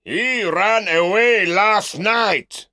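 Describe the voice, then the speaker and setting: A man voicing an older uncle who shouts mockingly to a crowd, pitched well above the narrator's own voice. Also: very slow, vocal fry, no echo, clean studio sound, heavily acted. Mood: scornful. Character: older man, scheming, loud and scornful.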